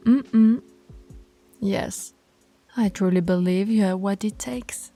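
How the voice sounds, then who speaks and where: A woman playing a dominant, teasing female alpha werewolf pack leader in an ASMR roleplay, commanding and often slipping into a breathy whisper. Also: mid-range voice, warm tone. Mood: indulgent, smug.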